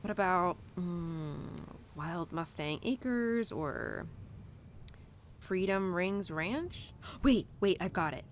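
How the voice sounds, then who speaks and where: A woman's voice: on the low side, lively intonation, slow pace, slight background noise. A woman playing a young, animal-loving cowgirl who confides tenderly in her horse in an intimate, casual, rambling audio roleplay. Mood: dreamy, excited. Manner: casual, rambling, sighing and giggly.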